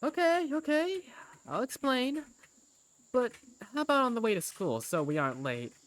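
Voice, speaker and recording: A woman's voice: high-pitched, very animated, moderate pace, voice pushed up. A woman voicing a sheepish, apologetic young student in a hesitant, awkward way for a fan dub of a visual novel game. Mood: awkward, defensive.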